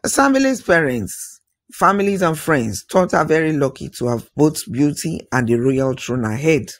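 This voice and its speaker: Husky-voiced male storyteller, slightly high-pitched. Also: lively intonation, normal speed, a bit bright. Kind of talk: narrating an African folktale, oral storytelling.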